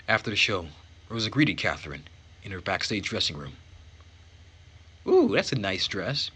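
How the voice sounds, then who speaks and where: A man reading a visual novel aloud as host and narrator, with measured, theatrical phrasing. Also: low-pitched, pushed and bright, husky. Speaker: let's play host and narrator.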